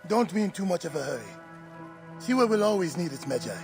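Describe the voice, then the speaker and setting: A gravelly jovial old friend. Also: male voice, sits high, sing-song, fast talker, over music, heavily acted. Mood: friendly. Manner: friendly, teasing, hearty. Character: jovial older man, warm friend of the hero. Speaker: village elder and friend.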